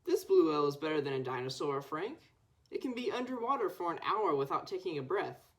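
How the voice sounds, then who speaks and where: A woman's voice: sits low, big pitch swings, fairly quick. A young woman voicing a put-upon, defensive schoolboy in a picture book.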